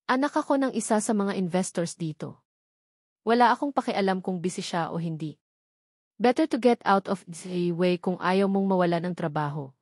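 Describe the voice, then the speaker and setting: A woman's voice: lowish voice, animated, moderate pace, dry recording. Woman playing a young heiress, coquettish, haughty, clingy, affected, romance audiobook character, dry recording. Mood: haughty, demanding.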